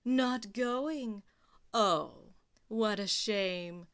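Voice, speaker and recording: A woman voicing a cruel, haughty stepmother who gives slow, mocking commands. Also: medium pitch, expressive, a bit bright, clean tone.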